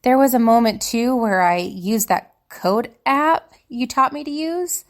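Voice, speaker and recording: A woman's voice: fairly high pitch, measured pace. A woman playing a young spellcaster student in an audio drama, confiding and hesitant, anxious and wistful. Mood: confiding, uneasy. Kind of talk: dramatic monologue in fiction podcast.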